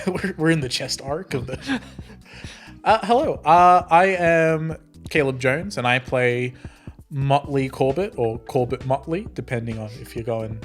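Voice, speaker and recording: A man's voice: medium pitch, measured pace. A man in casual group talk about a tabletop game, with an ordinary mid-range voice. He rambles, restarts his sentences and laughs easily, with faint music underneath. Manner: rambling, self-interrupting, laughs often.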